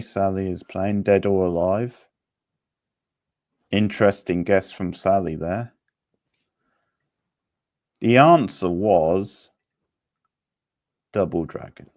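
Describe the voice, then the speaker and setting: Slow, low-voiced man hosting a quiz. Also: gravelly, a little mellow. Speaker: quiz host. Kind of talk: casual live quiz hosting.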